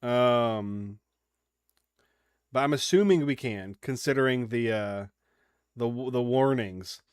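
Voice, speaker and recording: A man hosting a gaming stream, chatting informally and haltingly as he comments and reads on-screen text aloud. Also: mid-range voice, smooth, no room sound, clean studio sound. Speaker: livestream gaming host.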